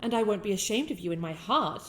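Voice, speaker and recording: A woman's voice: medium pitch, animated, fast, a little hiss or hum, lightly acted. A woman with a British accent playing a haughty young winter princess in a scripted ASMR roleplay, speaking in formal, courtly phrases. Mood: tender, resigned. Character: haughty sharp-tongued young winter princess with a softer, regretful side.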